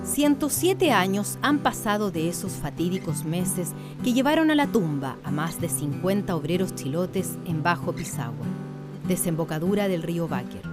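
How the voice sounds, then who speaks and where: A woman narrating a radio drama in a literary, scripted style. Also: lowish voice. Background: plucked guitar music.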